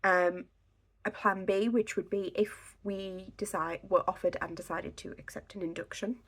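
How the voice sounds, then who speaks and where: Slightly croaky woman, chatty. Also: mid-range voice, steady tone, normal speed. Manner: chatty, filler-heavy, reassuring. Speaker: pregnancy vlogger. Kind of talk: casual instructional talk to camera. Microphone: camera on a tripod.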